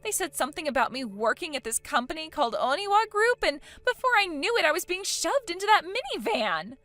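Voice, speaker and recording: A woman's voice: extremely high pitch, big pitch swings, fairly quick, a bit bright, no room sound, exaggerated voice. A woman voicing a spoiled, vain woman in her late twenties in acted story dialogue, dramatic, whiny and indignant. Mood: confused. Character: spoiled, vain, panicky 29-year-old woman.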